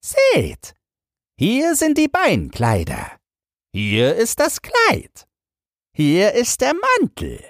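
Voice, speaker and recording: A man voicing a sly, flattering swindler tailor in a fairy tale, with fawning, drawn-out showy praise and a husky voice. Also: high-pitched, no echo, clean studio sound, voice pushed up, heavily acted. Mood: gleeful boasting. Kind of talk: fairy-tale audiobook character voice.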